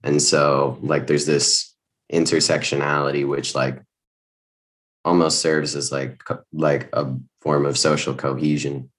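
A teenage boy, a student on a panel, talking in a halting way with many fillers and restarts. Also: extremely low pitch, flat delivery, fairly bright tone. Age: teens. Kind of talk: casual discussion.

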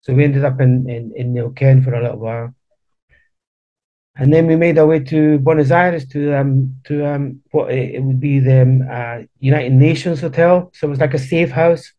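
Halting Chilean-born man, muffled sound. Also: mid-range voice, fairly even intonation. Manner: halting, repeats words and restarts often. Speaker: guest. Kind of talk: casual interview chat.

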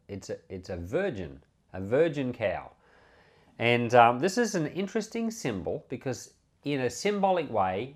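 A man speaking as a pastor, reading and explaining scripture in measured, emphatic phrases. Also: medium pitch. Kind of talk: Bible reading with devotional commentary.

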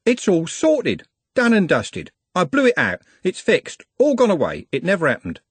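A man voicing a cocky young male street lad who talks in a high, boastful rush. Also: wildly swooping pitch, normal speed, breathy edge, no room sound, full character voice.

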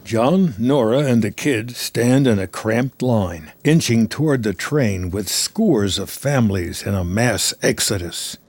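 A man's voice: medium pitch, expressive, measured pace, few pauses, darkish tone, no room sound. A man narrating a film script in a scripted storytelling style.

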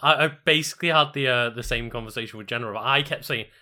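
A man's voice: medium pitch, quick pace, sharp tone. A man with a Scouse accent co-hosting a stream, chatting in an easygoing, laid-back way. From Liverpool. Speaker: co-host. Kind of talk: casual stream chat.

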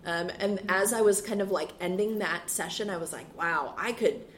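A woman in her thirties, a priest and school chaplain, talking in a relaxed interview with a soothing, reflective manner. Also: medium pitch, moderate pace, slight background noise. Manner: frequent fillers and restarts, laughs easily. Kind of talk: casual interview conversation.

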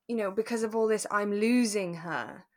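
A woman co-hosting a podcast, talking in a relaxed, thoughtful and explanatory way about a drama. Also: medium pitch, moderate pace, faint music behind. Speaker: podcast co-host. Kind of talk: relaxed discussion of a radio drama.

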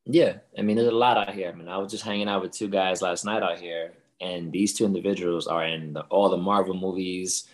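A man's voice: low-pitched, fairly even intonation, a little fast, hardly any pauses. A man from the Bronx, a rapper and dancer, chatting as a podcast guest in an easygoing way. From the Bronx, New York. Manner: easygoing, says "you know" and "man" a lot. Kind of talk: casual conversation.

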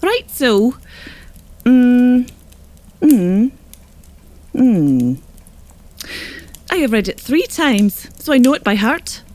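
An actress with an Irish accent playing a shrewd, hospitable northern wise woman in a children's audio drama, speaking with deliberate gravity. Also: on the high side, big pitch swings, a little mellow, full character voice. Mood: welcoming.

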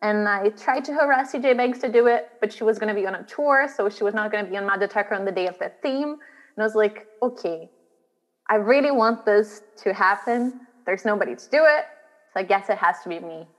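A woman from Brazil talks through her story as an interview guest, chatty and ready to laugh. Her voice sits at a middle pitch. A long reverb trails behind every phrase. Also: brisk. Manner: chatty, laughs while telling stories. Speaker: guest, drag artist. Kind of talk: interview chat.

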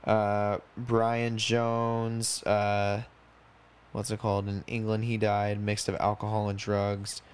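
A man's voice: lowish voice, monotone, slow pace, smooth. A man in his twenties chatting casually as a podcast co-host, rambling and slangy, swearing freely. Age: 20s. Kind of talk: casual chat.

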